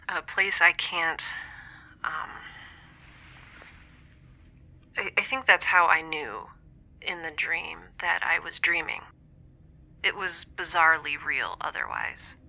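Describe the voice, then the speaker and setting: An actress playing a lonely, wry young space scientist in an audio drama, confiding hesitantly and often dropping to a near-whisper over a log recording. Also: female voice, deep voice, steady tone, measured pace, pushed and bright, full character voice. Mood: pensive, uneasy. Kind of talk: scripted audio drama monologue, intimate dream-log recording.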